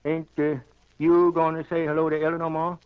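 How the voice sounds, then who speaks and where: An actor playing a middle-aged American farm husband in a 1940s radio play, folksy, sly and good-natured, given to muttered asides. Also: male voice, on the high side, completely flat delivery, fairly quick, clean tone, natural voice. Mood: sly cheerful. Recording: muffled 1940s radio broadcast.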